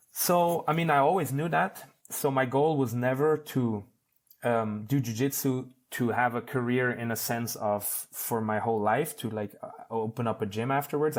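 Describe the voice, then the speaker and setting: A man of thirty from Switzerland talking informally and in a rambling, conversational way as a podcast guest. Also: medium pitch. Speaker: podcast guest, competitive jiu-jitsu athlete. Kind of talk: casual interview chat.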